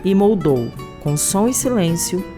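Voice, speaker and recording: A woman's voice: fairly low pitch, very animated, unhurried, over music. A Brazilian woman reading scripted documentary narration as a podcast narrator.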